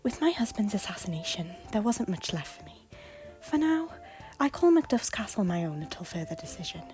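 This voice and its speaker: A widowed medieval queen, played by a woman, speaking in a mid-pitched voice. She sounds guarded and secretive, with faint music in the background. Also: sing-song, fairly quick, darkish tone, lightly acted. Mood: hushed. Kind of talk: fan audio drama acting.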